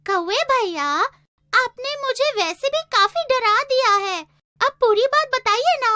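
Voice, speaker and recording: A woman's voice: very high voice, expressive, measured pace, bright, forceful tone, heavily acted. A woman voicing a small, innocent, anxious female bird in a children's cartoon, polite and earnest. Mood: curious, uneasy. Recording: music behind.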